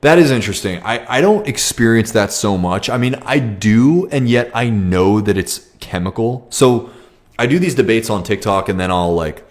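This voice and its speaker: A young man hosting a casual livestream Q&A, talking reflectively and haltingly with many ums and restarts. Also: on the low side, expressive. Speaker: livestream host. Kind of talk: casual livestream Q&A, reading comments.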